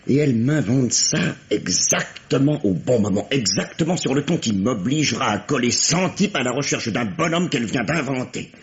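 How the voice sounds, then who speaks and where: An actor playing a sardonic, authoritative middle-aged police inspector questioning a suspect in a 1950s-style French radio drama. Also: male voice, medium pitch, lively intonation, moderate pace, few pauses, lightly acted. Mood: sarcastic. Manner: authoritative, sarcastic, theatrical. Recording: dull, lo-fi vintage radio recording.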